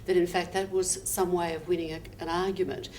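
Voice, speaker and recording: Aussie woman, warm lowish voice, bit far from the mic, soft hiss. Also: little pitch movement, brisk. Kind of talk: panel discussion. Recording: distant mic with faint hiss.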